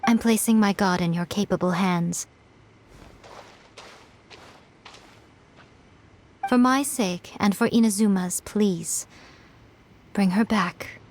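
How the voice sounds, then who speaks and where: An actress playing a sly, teasing familiar of a god in a video game, composed and condescending. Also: female voice, medium pitch, measured pace, darkish tone, slight background noise, lightly acted. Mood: grave, composed. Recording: game music behind. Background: game music.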